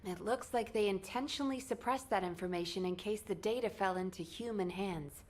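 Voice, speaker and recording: An actress playing a composed female squad leader who gives firm, measured commands. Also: medium pitch, darkish tone, natural voice. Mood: commanding.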